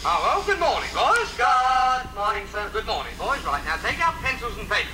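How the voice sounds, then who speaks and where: High-pitched, blustering old-radio schoolteacher. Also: male voice, sing-song, normal speed, music in the background. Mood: brisk greeting. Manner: blustering, exasperated, stammering over repeated words. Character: flustered, strict male schoolteacher in a comedy classroom. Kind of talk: 1930s radio comedy acting. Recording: noisy, echoey old radio transcription recording.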